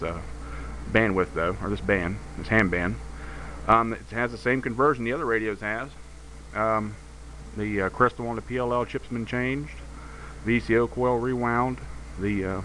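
A man with a fairly low voice explains his gear in an informal, unscripted way, feeling his way through the explanation. It is a noisy home video, with radio hiss clearly heard around him. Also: steady tone, normal speed, a bit bright. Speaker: amateur radio hobbyist. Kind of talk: informal hands-on equipment demonstration.

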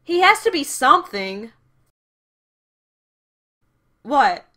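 A woman's voice: high-pitched, sing-song, measured pace, sharp tone, exaggerated voice. A woman playing a brash, blunt, foul-mouthed mechanic in an audio drama. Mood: matter-of-fact.

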